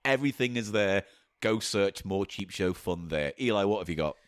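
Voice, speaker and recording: Jokey man with a lively voice. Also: on the low side. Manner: jokey, silly put-on voices, quick wordplay. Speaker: podcast co-host. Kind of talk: bawdy comedy podcast banter.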